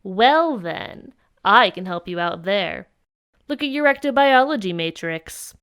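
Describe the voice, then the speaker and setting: A woman's voice: mid-range voice, expressive, a little slow, fairly bright tone. A woman acts out a smug female alien troll from an audio drama, a prankster who talks down to everyone with mocking, teasing relish. Faint noise and clicks sit behind the voice. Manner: mocking, condescending.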